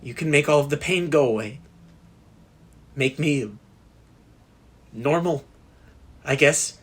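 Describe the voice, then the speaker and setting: A nervous young man, a patient in a horror audio play. His voice is on the high side and husky, with a timid, stammering delivery full of desperation and self-doubt. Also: slow, a bit bright, heavily acted. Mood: desperate, pleading. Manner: hesitant, stammering.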